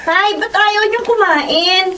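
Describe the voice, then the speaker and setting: An actress playing a dutiful, gentle young woman in a family radio drama, speaking politely and solicitously. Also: very high voice, slow, slight background noise, exaggerated voice. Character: dutiful, gentle young female household member.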